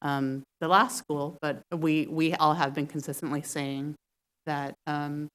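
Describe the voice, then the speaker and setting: Low-voiced woman on a school board. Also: flat delivery, measured pace, fairly bright tone. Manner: careful, hesitant, many fillers. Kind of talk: board meeting discussion. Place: civic center meeting hall.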